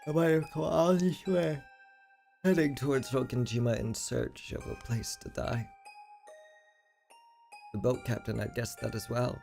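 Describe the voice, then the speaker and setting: A woman's voice: a bass voice, fairly even intonation, a little slow, vocal fry, long pauses, mellow, full character voice. A woman voicing a solemn, haunted young woman in a game, brooding and resigned to fate. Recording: background music under the voice.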